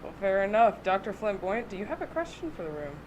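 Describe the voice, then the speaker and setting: A man hosting a comedy show, bantering and announcing in a lively, teasing, profane way. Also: high-pitched, quick pace, noisy background.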